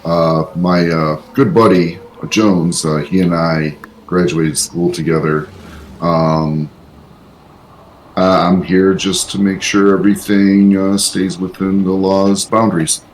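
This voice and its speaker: A man with a low, deep voice speaks as a player in a tabletop game. His casual delivery is halting and hesitant, over faint noise. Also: fairly even intonation, rough recording. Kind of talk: casual improvised character introduction.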